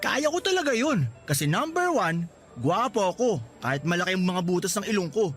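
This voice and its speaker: A man acts out a cheeky, friendly young workmate in a radio drama. His fairly high voice is very animated and teasing, with soft music in the background. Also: brisk. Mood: boastful, teasing. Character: cheeky, friendly male co-worker, self-mocking joker. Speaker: drama voice actor.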